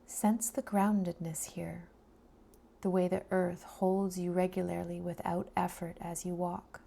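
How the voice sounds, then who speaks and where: A woman guiding a body scan meditation in a soft, soothing, breathy voice that often trails into a near-whisper, leaving long pauses between phrases. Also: fairly low pitch, level tone. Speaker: meditation guide.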